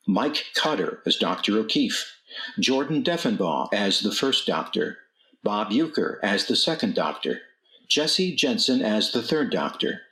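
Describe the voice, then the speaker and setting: Bright, echoey male radio theatre host. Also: fairly low pitch. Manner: measured, dramatic presenter delivery. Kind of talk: scripted narration. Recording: roomy, echoing voice recording.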